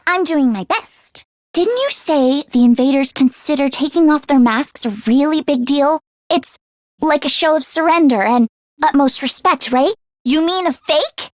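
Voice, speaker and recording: A woman voice acting an earnest, anxious teenage schoolgirl in an English video game, with expressive, emotionally heightened delivery. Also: high-pitched, unhurried, dry recording, clean studio sound. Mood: concerned.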